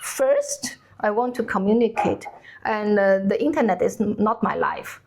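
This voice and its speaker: Co-host, female, native Chinese speaker, mid-pitched, stop-start delivery as she hunts for words. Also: animated, creaky, a little mellow, slight background noise. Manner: halting, searching for words in English. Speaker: cook and channel co-host. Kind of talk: casual conversation.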